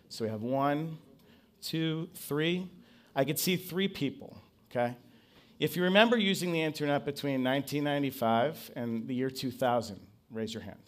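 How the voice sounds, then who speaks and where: A man speaking English as his first language, giving a conference presentation and drawing the audience in with questions. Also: mid-range voice. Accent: non-Brazilian English accent. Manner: engaging, asks the audience to raise hands. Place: conference stage.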